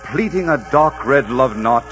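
A man's voice: medium pitch, expressive, measured pace, fairly bright tone. A man announcing in a theatrical, polished, scripted 1940s radio style. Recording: dull 1940s radio recording, music.

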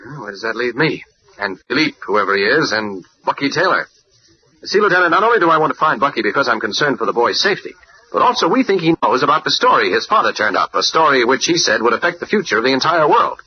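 An actor playing an earnest, persistent newspaper reporter with an American accent and a brisk, polite manner in an old radio drama. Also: male voice, mid-range voice, big pitch swings, slightly rough. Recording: muffled, slightly noisy old radio recording.

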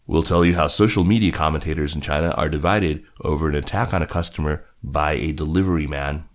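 Very deep-voiced man reading news. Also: fairly quick. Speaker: podcast host and news reader. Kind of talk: scripted news narration.

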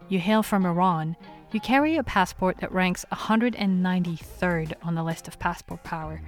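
Lively female podcast host over faint music. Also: on the low side. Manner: lively, playful, curious. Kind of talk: scripted podcast intro and interview.

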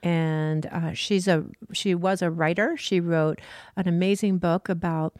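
A woman's voice: lowish voice, fairly even intonation, measured pace, a little mellow, no echo, studio quality. A middle-aged woman, a surf school founder, telling her story earnestly and reflectively as an interview guest.

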